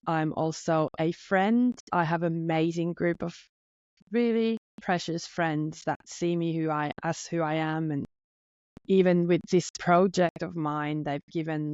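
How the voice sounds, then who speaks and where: Finnish-accented woman in her thirties, lowish voice, sincere and a little nervous solo podcast host, dry muffled recording. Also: darkish tone, no room sound. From Finland. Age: 30s. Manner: earnest, openly nervous, heartfelt.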